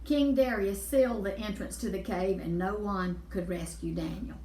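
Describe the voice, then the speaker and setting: A woman's voice: mid-range voice, normal speed, warm tone. Woman storyteller, children's Bible reading, emphatic and explanatory, echoey room, slightly distant microphone.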